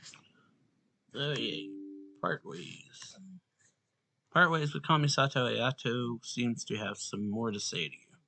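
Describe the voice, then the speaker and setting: A man giving casual let's play commentary with a raspy, creaky voice, reacting and reading choices aloud. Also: mid-range voice, animated, slow, long pauses, pushed and bright, poor audio. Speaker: gaming streamer.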